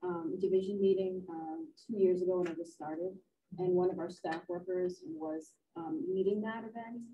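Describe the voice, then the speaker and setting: Gravelly-voiced middle-aged woman on a video call. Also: lowish voice, normal speed, mellow, slight background noise. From Boston area. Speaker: university career services staff. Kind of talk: casual panel discussion. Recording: dull video call audio.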